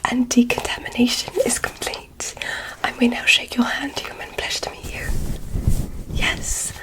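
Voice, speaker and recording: A woman plays a curious alien doctor who speaks in a soft whisper. Her voice sits high whenever it surfaces from the hush. She is courteous and a little formal, explaining each step to her human patient in ASMR roleplay fashion. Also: little pitch movement, normal speed, natural voice, low-quality recording. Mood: delighted, welcoming.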